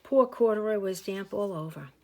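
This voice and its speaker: A woman reading a picture book aloud as a teacher to young children, with expressive, drawn-out emphasis. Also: medium pitch. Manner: animated, with slow drawn-out emphasis; asides and explanations for young listeners.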